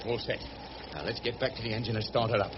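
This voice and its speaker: An actor, rambling along in folksy, colloquial speech. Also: male voice, on the low side, fast, lightly acted. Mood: busy, pleased. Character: rough, good-hearted working-class boatman, chatty and affectionate. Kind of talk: radio drama acting. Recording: muffled radio drama recording with background noise.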